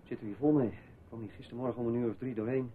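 An actor playing a nervous, superstitious small-time thief in a radio play, mouthy and mock-polite, on an old recording. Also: male voice, mid-range voice, steady tone, racing, close to an everyday voice. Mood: spooked, low. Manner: sardonic, colloquial, mock-polite. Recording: tinny narrow-band old radio recording, noisy.

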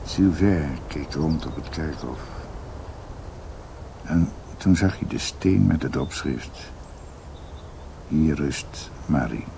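An actor in a radio drama playing a grave older doctor who tells a dark family history in a halting, somber way. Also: male voice, extremely low pitch, creaky, slight background noise, heavily acted.

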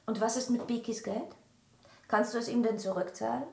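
An actress in a German radio drama playing an anxious, questioning wife. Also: female voice, on the high side, fairly even intonation, fairly quick, creaky. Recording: roomy studio, loud music underneath.

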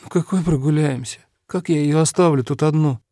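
A man's voice: on the high side, a little fast, warm tone, no echo, studio quality, pitched above the performer's own voice, close to an everyday voice. A man voicing a weary young woman who looks after her sick mother, speaking tiredly and reluctantly.

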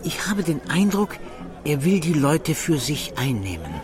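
A man's voice: on the high side, big pitch swings, measured pace. A man acting a polite, earnest acquaintance in a radio drama, deferential and groping for the right words. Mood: hesitant, confiding. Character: hesitant, polite, earnest male acquaintance of the doctor. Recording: clear music behind the voice.

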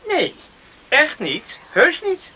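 An actor playing an earnest, tender young village man in a radio play, speaking in a halting, searching way. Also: very high voice, huge pitch swings, sharp tone, full character voice. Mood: curious. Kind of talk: Dutch radio play acting. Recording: noisy old radio play recording.

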